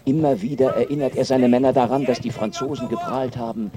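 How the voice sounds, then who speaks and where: A man plays a sober chronicler narrating a radio drama. His medium-pitched male voice describes the scene, with a clear outdoor crowd behind him. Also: fairly even intonation, a little fast, lightly acted.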